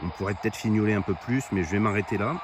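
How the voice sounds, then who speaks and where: A man with a fairly low voice talks through his gear like a casual vlogger. He goes haltingly, thinking aloud. The outdoor camera recording carries clear ambient noise. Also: steady tone. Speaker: vlogger presenting radio gear. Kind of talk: casual hands-on gear presentation. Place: outdoors on high ground.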